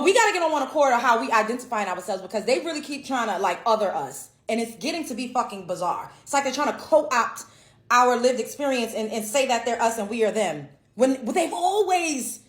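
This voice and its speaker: A woman with an American accent and a high voice gives her casual opinion straight to the listener. She is blunt and emphatic and swears freely. The recording has the echo of a bare room. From United States. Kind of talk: casual opinion talk to camera. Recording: echoey room.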